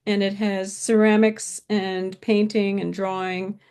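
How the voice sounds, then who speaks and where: A woman's voice: medium pitch, level tone, slow pace, darkish tone, clear, resonant tone. Older woman, chatty interview guest. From British upbringing, lives in California. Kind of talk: casual interview conversation.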